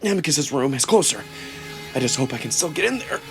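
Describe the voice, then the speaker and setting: A man reading a visual novel in the first person as a theatrical, expressive narrator. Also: mid-range voice, fairly quick. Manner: theatrical, expressive, acts out emotion. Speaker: narrator and performer. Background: music.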